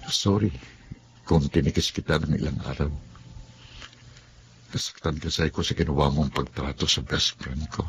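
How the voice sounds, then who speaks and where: A man playing Andrew, a devoted young boyfriend in a radio drama, who speaks softly and tenderly and sometimes teases. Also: extremely low pitch, steady tone, normal speed, long pauses, slight background noise. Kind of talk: Filipino radio drama acting.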